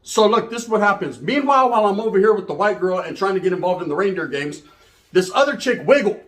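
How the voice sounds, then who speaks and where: A man's voice: high-pitched, fast. An American man casually telling a bawdy comedic story in slangy language, acting out the dialogue and often checking in with the listener. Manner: slangy, animated, acts out dialogue, checks in with "right?". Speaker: storyteller, channel host.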